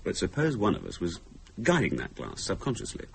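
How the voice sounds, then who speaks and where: A man's voice: low voice, wildly swooping pitch, brisk, gravelly, a little hiss or hum, heavily acted. A man acting in a radio drama as a glib, teasing husband who talks wryly and likes to reason aloud. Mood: playful, speculative. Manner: wry, talkative, reasoning aloud.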